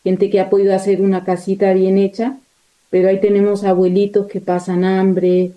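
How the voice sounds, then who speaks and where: Hesitant woman with a very mellow, even voice. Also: mid-range voice, moderate pace, rough recording. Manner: hesitant, searching for words. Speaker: missionary nun, interview guest. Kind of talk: spontaneous interview account.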